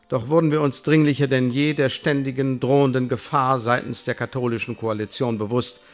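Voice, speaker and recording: A man playing a coolly bureaucratic secret service official in a radio play, speaking in a formal, measured, officious way. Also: medium pitch, close to an everyday voice. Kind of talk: German radio play, report read aloud and interrogation.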